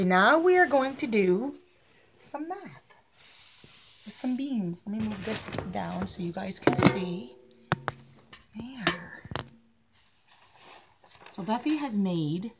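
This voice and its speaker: A woman's voice: mid-range voice, background noise. A middle-aged woman giving young children a simple, step-by-step hands-on lesson as a preschool teacher. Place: carpeted living room at home.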